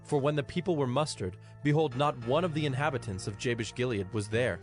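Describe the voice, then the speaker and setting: A man's voice: mid-range voice, a little fast. Male scripture narrator over music. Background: background music.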